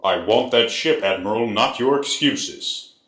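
A man voicing a menacing, commanding space villain with slow, stern, imperious delivery. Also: a bit bright. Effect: pitch shift and reverb on some takes. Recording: echoing, reverberant voice.

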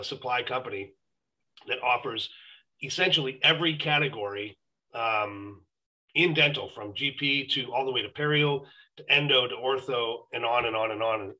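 A man's voice: medium pitch, pushed and bright, poor audio. A middle-aged man on a video call, explaining his company as a guest on a live stream. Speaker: dental company executive, guest. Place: room at home or office, indoors.

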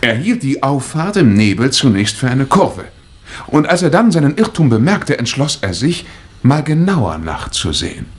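An actor playing a brisk, plain-spoken husband explaining himself in a 1960s German radio crime drama. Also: male voice, mid-range voice, sing-song, natural voice. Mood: reasoning. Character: brisk, plain-spoken married man, lost motorist.

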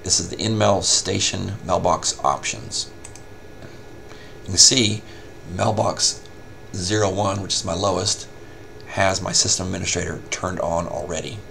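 A man giving a casual, step-by-step software tutorial as a help desk instructor. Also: deep voice, steady tone, a little slow, gravelly, a little hiss or hum. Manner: informal step-by-step explaining.

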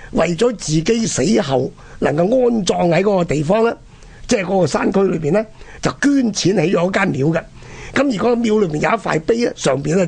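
A man with a Hong Kong Cantonese accent and a husky, gravelly voice, telling history conversationally and explaining it to the listener. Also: sits high, a little mellow. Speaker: co-host of a history programme.

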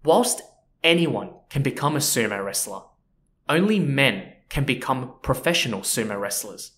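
A man hosting a history podcast, narrating in a relaxed, chatty way with frequent asides to the listener. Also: mid-range voice, moderate pace. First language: not Japanese. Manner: relaxed and chatty, with frequent "you know" and "so yeah". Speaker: podcast host.

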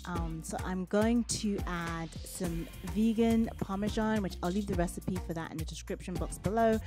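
A woman hosting a cooking video, calmly talking through each step in a voice-over. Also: fairly low pitch. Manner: relaxed step-by-step instructions. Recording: voice-over with music underneath. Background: background music.

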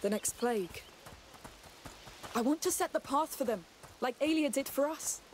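An actress playing a gentle, weary but resolute young woman in a dramatic video game, speaking softly and earnestly. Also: sits high, lively intonation, moderate pace, slight background noise.

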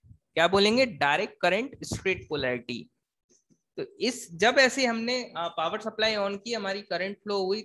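A man's voice: high-pitched, a bit bright. Man explaining like a teacher. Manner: asks and answers own questions, repeats points; mixes in English technical terms. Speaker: engineering teacher. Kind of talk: explanatory technical lecture.

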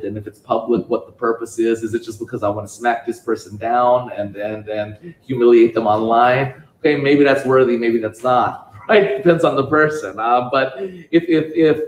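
A man giving a public talk at a bookstore event, speaking haltingly with frequent restarts and "you know"s. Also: medium pitch. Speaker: guest speaker. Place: bookstore event space with audience. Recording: echoey room.

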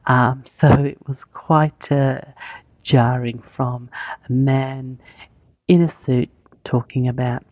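A woman's voice: very deep voice, steady tone, takes it slowly, no room sound, narrow, tinny audio. A woman, speaking as the host of an interview podcast.

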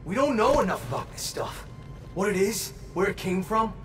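A man's voice: sits high, animated, moderate pace, a bit bright, soft background music. An actor playing a loyal young male henchman of an underground gang in a video game. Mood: uneasy. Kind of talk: video game cutscene acting.

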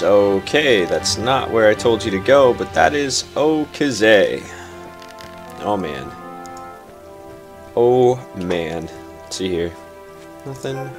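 A young man hosting a casual let's play, chatty and playful, a little stuffed up. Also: fairly low pitch. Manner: chatty, playful, easily excited. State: slightly stuffed up. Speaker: gaming channel host. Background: game music.

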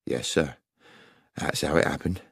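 A man's voice: rumbling low, a little slow, gravelly, fairly bright tone, slightly rough, no echo, studio quality, voice pushed down, exaggerated voice. Man acting an anxious, deferential railway guard. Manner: respectful, protesting. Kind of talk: dramatised audiobook dialogue.

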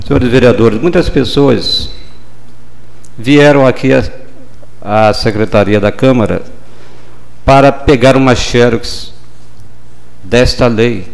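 A man's voice: medium pitch, vocal fry. Formal councilman speaking in chamber. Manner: measured, formal, deliberate pauses. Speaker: city councilman. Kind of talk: formal legislative speech. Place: council chamber, seated at desk. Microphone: desk gooseneck microphone.

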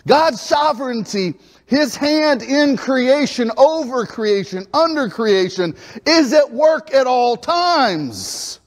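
A man, preaching a lively sermon in a church sanctuary on a lapel mic, acting out scenes as he moves about. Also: extremely high pitch. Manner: animated preaching, acts out biblical dialogue, moves about the stage. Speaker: pastor. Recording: reverberant church hall.

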